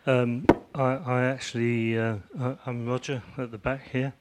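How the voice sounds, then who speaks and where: Slow, hesitant man at a meeting. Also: mid-range voice, flat delivery, heavy background noise. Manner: hesitant, many fillers. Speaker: audience member, volunteer who built the website. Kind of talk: meeting discussion.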